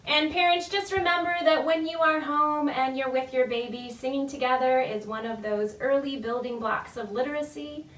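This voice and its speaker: A woman's voice: high-pitched, steady tone, normal speed, hardly any pauses, fairly bright tone. A woman children's storytime leader giving friendly, encouraging instructions to parents and babies in a sing-song, demonstrative way. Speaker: children's librarian leading a baby storytime. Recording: roomy echo, distant mic.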